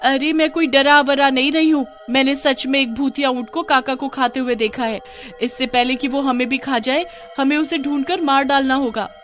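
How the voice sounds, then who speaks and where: A woman's voice: high voice, steady tone, moderate pace, fairly bright tone, faint music behind, pitched above the performer's own voice. A woman voicing an alarmed, insistent village auntie with urgent exclamations in a horror story. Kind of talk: Hindi horror story character voice.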